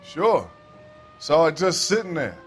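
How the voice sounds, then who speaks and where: Higher-voiced folksy railway man. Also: sing-song, a little slow, a little hiss or hum. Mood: matter-of-fact. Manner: folksy, colloquial, matter-of-fact. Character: folksy, plain-spoken male railway worker, restless witness. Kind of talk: cinematic game acting.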